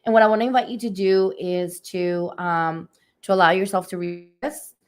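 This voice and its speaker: A woman with a slightly hoarse voice giving a live spiritual reading, speaking softly and unhurriedly with many fillers. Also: on the low side, steady tone, normal speed. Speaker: energy healer giving readings. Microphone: phone or webcam on a livestream.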